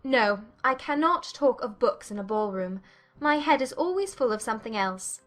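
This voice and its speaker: A woman playing a witty, spirited young Regency gentlewoman with an arch, teasing manner in a dramatized audiobook. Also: fairly high pitch, animated, moderate pace. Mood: flustered, playful.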